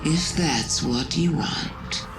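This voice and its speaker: A woman's voice: sits low, over music, full character voice. A woman playing a cunning alien witch queen who poses as a caring friend, silky and taunting in a game cutscene. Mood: coolly coaxing. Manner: slow, silky, taunting, deliberate. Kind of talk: dramatic game cutscene acting.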